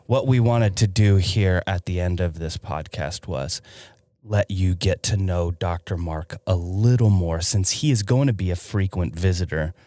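Man, very low voice, podcast host, relaxed, filler-heavy, dry studio sound. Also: a little slow, few pauses, dry recording. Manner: easygoing, filler-heavy introductions. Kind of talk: casual podcast chat.

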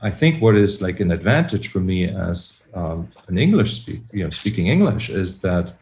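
A man's voice: sits low, very animated, normal speed, a little mellow. Man, hesitant, roomy sound. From Germany. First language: German. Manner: hesitant, searching for words, many restarts. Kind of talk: casual conversation.

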